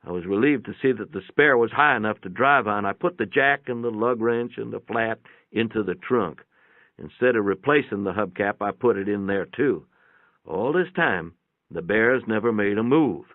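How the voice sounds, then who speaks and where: Low-voiced male storyteller, tinny echoey recording. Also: normal speed. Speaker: narrator. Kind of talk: dramatic reading of a first-person short story. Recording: boxy room echo, tinny phone-like sound.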